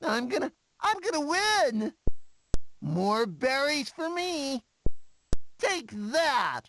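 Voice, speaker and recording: A woman voicing a small, excitable, boastful cartoon game character in short cheering exclamations. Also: sits high, slow, a little hiss or hum, full character voice. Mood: excited, triumphant. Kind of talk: short video game voice clips.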